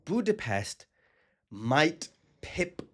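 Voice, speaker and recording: A man's voice: on the high side, very animated, very slow, a bit bright. A man hosting a comedy podcast, chatting casually in a playful, teasing, boastful way. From England. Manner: playful, teasing, self-aggrandizing. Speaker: podcast host, stand-up comedian.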